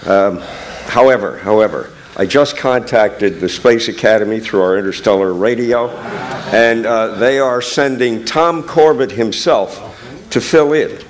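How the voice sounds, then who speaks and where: A man's voice: mid-range voice, unhurried. A man making mock announcements in a comic stage skit, hesitant and tongue-in-cheek, on a noisy live recording.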